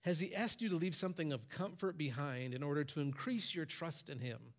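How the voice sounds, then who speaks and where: Animated preaching man, fairly high voice. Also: fairly quick. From Michigan, USA. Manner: animated preaching, voice rising for quoted commands. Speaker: pastor. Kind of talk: sermon. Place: church stage.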